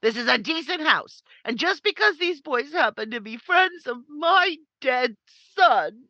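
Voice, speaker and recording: Hard-boiled matron villain played by a woman, 1950s radio crime style: indignant, combative, piercing, animated delivery. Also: sits high, measured pace, no room sound, dull audio, full character voice. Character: hard, defiant older woman, secret crime-ring boss.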